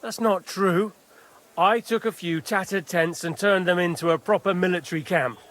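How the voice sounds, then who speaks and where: An actor playing a proud, blustering medieval camp commander, haughty, defensive and emphatic, in a dramatic video game. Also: male voice, sits high, normal speed, fairly bright tone.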